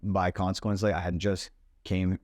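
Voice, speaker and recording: A man's voice: low voice, flat delivery, normal speed, a little mellow, no room sound. A young man from Lebanon, a startup founder, chatting casually on a podcast in a laid-back way, full of "like" and "bro". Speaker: startup CEO guest.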